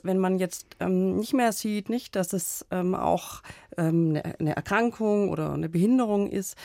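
A woman psychotherapist explaining her view as a radio studio guest, measured and careful, with many fillers. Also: medium pitch, darkish tone, no room sound.

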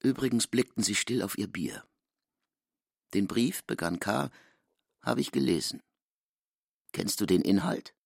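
An actor as a storyteller in a literary radio drama, husky and gravelly, reading expressively in a formal manner. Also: male voice, on the low side, expressive, measured pace, pauses often, dry recording, heavily acted. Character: expressive male storyteller of a classic novel. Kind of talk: scripted literary narration with character speech. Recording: dry studio with faint music.

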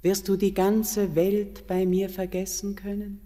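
Actress as a slow, dark-toned mountain queen, seductive and commanding, in a long reverb. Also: mid-range voice, flat delivery, lightly acted. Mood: entreating. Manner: slow, solemn, sinking to hushed whispers. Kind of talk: classic German radio play acting.

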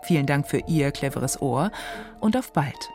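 A woman's voice: low-pitched, expressive, unhurried. A woman hosting a literary radio programme with a scripted presenting style. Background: faint music.

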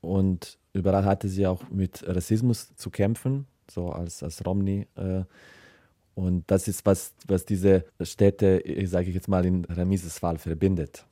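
A man's voice: low-pitched, steady tone, unhurried, mellow, dry recording, clean studio sound. A man giving unscripted interview answers as a writer, hesitant and searching for his words. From former Yugoslavia. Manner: hesitant, searching, hedging phrases.

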